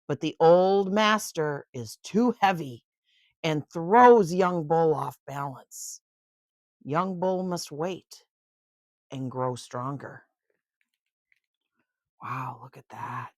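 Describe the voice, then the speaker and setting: An older woman reading a children's picture book aloud in an expressive, unhurried way, with chatty asides of wonder. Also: sits low, no echo, studio quality.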